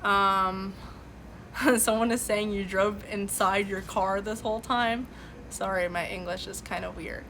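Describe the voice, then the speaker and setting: A bright, clear-toned woman whose first language is Farsi hosts a casual live stream from a room at home. She talks earnestly, circling back with frequent "um"s and restarts as she answers her chat, with a faint hum of noise underneath. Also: medium pitch, steady tone, measured pace. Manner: earnest, lots of fillers and restarts. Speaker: livestream host. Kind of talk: casual political commentary, reading chat. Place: home room with boxes behind.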